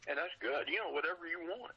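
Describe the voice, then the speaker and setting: Old retired guy chatting in an interview, really rough scratchy voice w lots of fry, kinda dull recording. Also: fairly high pitch, fast talker, sharp tone. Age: older, retired. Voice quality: raspy, husky, gravelly. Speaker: podcast guest, seller of old radio show recordings. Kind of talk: casual interview chat.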